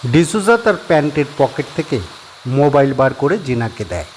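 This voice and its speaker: A male narrator reading a scripted horror story in a steady, descriptive way. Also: mid-range voice, normal speed, a little hiss or hum, poor audio.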